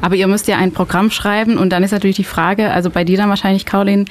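A woman's voice: medium pitch, flat delivery, quick pace. Chatty Belgian-born woman hosting radio. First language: not German. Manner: chatty, hesitant with many fillers. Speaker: radio host. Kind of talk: casual radio interview. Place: radio studio.

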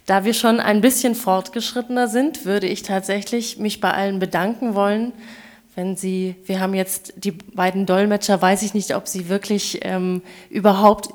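A woman hosts a public event and speaks in a formal, courteous register. She works through a long round of thanks and good wishes, pausing now and then. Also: medium pitch, steady tone, a little mellow. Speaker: event host.

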